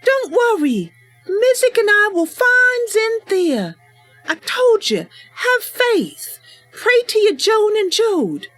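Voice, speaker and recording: A woman's voice: very high voice, a bit bright, voice pushed up, exaggerated voice. A woman voicing an earnest, kindly female wood elf adventurer in a fantasy game roleplay, speaking emphatically. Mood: reassuring.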